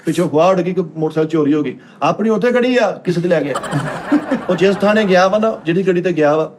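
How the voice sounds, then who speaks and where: A man's voice: high-pitched, fast, noisy background. A man with a Pakistani Punjabi accent telling funny stories in casual chat as a panellist on a comedy show. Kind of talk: animated comic storytelling in casual chat.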